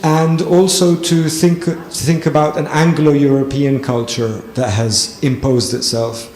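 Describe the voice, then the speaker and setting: A man's voice: fairly high pitch, fairly even intonation, takes it slowly, darkish tone. A man answering an audience question at a panel talk, thoughtful, with frequent ums and restarts. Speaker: lecturer and panelist. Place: event hall with audience. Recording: echoey hall, faint noise.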